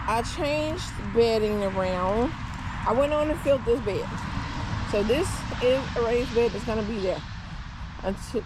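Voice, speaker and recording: A woman with a Southern US accent, chatting in a relaxed, tired way as she tours her backyard garden in the evening. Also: medium pitch. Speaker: gardening vlogger. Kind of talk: casual garden tour. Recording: outdoor recording with clear background noise. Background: outdoor ambience with traffic.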